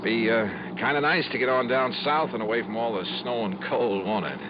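An actor playing a brash, wry freelance investigator in punchy, hard-boiled 1950s radio style. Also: male voice, medium pitch, brisk, noisy background, natural voice. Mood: hesitant, coaxing. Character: brash, wry freelance insurance investigator narrating his case. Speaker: lead character and narrator. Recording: dull 1950s radio recording.

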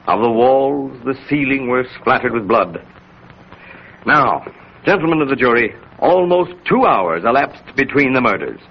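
A man's voice: medium pitch, animated, creaky, slightly rough, full character voice. An actor playing an impassioned male defense lawyer, oratorical and emphatic, in 1930s radio style. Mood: grave, reasoning. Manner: oratorical, deliberate emphasis and repetition. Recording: muffled 1930s radio recording.

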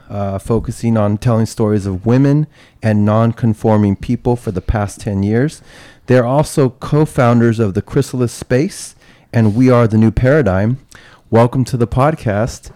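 A man's voice: medium pitch, mellow, dry recording. A man hosting a podcast, asking guests casual questions with lots of laughs and fillers. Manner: laughs often, friendly filler-heavy questions. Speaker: podcast host. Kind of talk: casual interview chat.

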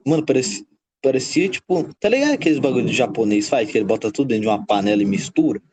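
A Brazilian man with very lively intonation and a mid-range voice. He chats casually as a podcast regular, full of slang and teasing. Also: medium pitch, very fast, a crowd in the background. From São Paulo, Brazil.

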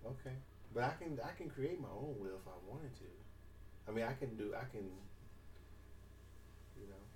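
Picked up at a distance with some background noise, this is a man in his late forties co-hosting a podcast. His delivery swings up and down in lively fashion. He banters casually, blunt and teasing, with plenty of slang and swearing. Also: mid-range voice, normal speed, roomy sound. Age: late 40s. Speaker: podcast co-host.

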